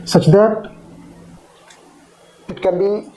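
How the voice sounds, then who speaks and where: A man teaching in an explanatory lecture style, with a halting, repetitive delivery. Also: high-pitched, steady tone, dark tone, poor audio.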